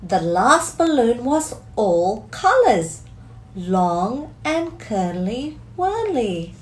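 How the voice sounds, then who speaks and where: A woman in her thirties reading a picture book aloud to children, expressive and deliberate, drawing out key words in a small room. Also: a little high, a little hiss or hum. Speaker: storyteller, teacher reading to children. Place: small curtained room indoors.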